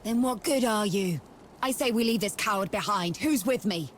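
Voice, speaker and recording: A woman's voice: medium pitch, normal speed, a little hiss or hum, natural voice. Woman playing a bitter, scornful soldier. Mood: accusing. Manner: scornful, confrontational. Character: bitter, accusing woman soldier, unforgiving and contemptuous.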